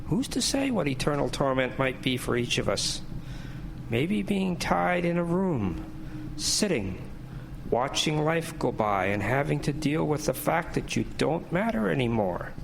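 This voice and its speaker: A man playing a minister in an audio drama, deliberate and wry, with a preacherly, gently provoking way of talking. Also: fairly high pitch, gravelly, noisy background, exaggerated voice. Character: measured, gently provoking male minister, solemnly philosophical. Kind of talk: scripted audio drama acting.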